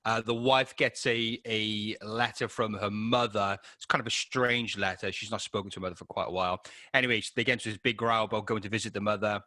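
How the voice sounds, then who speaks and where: A man's voice: fairly low pitch, fairly even intonation, a little fast, hardly any pauses, pushed and bright, no echo. A man in his late thirties chatting casually and a little hesitantly as he co-hosts a film review. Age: late 30s. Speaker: podcast co-host and filmmaker.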